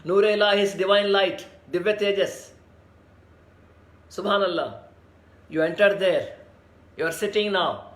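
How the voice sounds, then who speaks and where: Man, meditation leader, slow soothing chanting, somewhat high voice, echoey room. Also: a bit bright. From India. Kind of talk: guided spiritual meditation.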